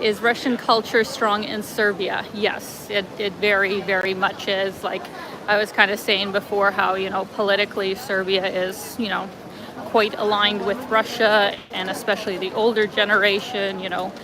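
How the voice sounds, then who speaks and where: Chatty woman streaming from a phone outside, mid pitch, lots of "like" and "you know", traffic going by. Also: level tone, moderate pace. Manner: chatty, lots of fillers, laughs often. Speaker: travel vlogger reading live chat. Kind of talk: casual livestream chat while walking. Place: outdoors on a city street, light rain. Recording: clear street noise behind. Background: city traffic and passing vehicles.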